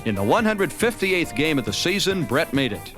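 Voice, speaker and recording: Male American documentary narrator, sports season recap, voice a touch high, light music bed. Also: sing-song, moderate pace. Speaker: narrator of a baseball season review. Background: background music.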